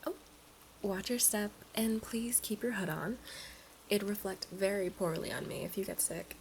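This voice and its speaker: A woman's voice: mid-range voice, moderate pace, vocal fry, a little hiss or hum, close to an everyday voice. A woman playing a playful, pleading young alien princess at college in an ASMR roleplay, chatting and coaxing the listener directly. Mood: apologetic, earnest.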